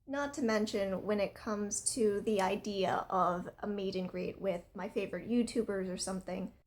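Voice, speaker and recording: A young woman from the United States with a strained, hoarse voice, chatting casually to camera in a rambling, earnest way. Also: mid-range voice, normal speed, slight background noise. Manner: rambling, earnest, with many fillers. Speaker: vlogger.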